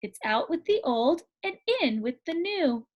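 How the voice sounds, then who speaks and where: A woman's voice: high voice, animated, a little slow, lo-fi sound. A woman voicing an eager little wren. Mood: helpful. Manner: eager and helpful. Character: small helpful male wren bird.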